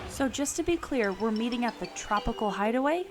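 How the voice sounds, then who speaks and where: A woman playing a plucky, quick-witted adventurer in an earnest amateur radio drama. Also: a little high, lively intonation, close to an everyday voice. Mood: curious. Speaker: podcast host acting in the show. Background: music.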